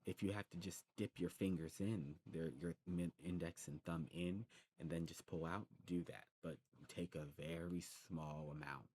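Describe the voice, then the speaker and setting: A very deep male demon played by a man tends his shop in an ASMR roleplay. He explains his wares patiently and fondly, wandering and pausing as he goes. Noticeable noise sits behind the voice. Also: steady tone, a little mellow, close to an everyday voice. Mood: patient, instructive. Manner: rambling, hesitant, explanatory. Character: male demon snuff shopkeeper, knowledgeable, fond, patient. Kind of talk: ASMR roleplay, rambling product talk. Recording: clearly noisy background.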